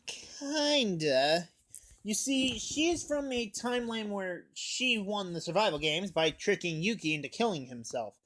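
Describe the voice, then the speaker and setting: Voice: mid-range voice, very animated, a little slow, a bit bright. A teenage reviewer recapping a story in a casual, scripted style. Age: teen. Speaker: anime reviewer.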